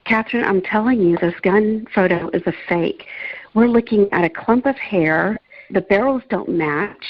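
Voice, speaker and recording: A woman's voice: mid-range voice, muffled recording, natural voice. A woman acting as an earnest investigator in an old time radio style mystery, speaking emphatically about evidence. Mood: emphatic, convinced. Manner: slow, emphatic. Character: earnest female investigator questioning suspect evidence.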